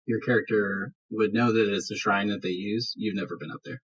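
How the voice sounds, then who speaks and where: A man's voice: on the low side, fairly even intonation, fairly quick, a bit bright, breathy edge. A man running a tabletop game as game master, improvising narration in a conversational, explanatory way. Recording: dull lo-fi podcast audio.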